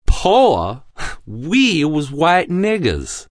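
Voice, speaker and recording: Audiobook role: man doing a cornered, sarcastic crook, pitched up, rough talk, lively, set back from the mic. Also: drawn-out words, no echo, studio quality, full character voice. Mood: defiant. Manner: defiant, rough-spoken. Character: defiant low-class male criminal, sarcastic, cornered. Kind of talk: audiobook character voice.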